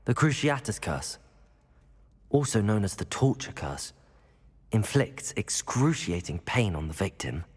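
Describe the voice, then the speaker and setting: Brave young wizard, husky, lively intonation, polite and curious, game dialogue with reverb. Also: male voice, lowish voice, measured pace, exaggerated voice. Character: curious, sympathetic, brave student wizard hero. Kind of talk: video game cutscene acting. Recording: hall reverb, music underneath.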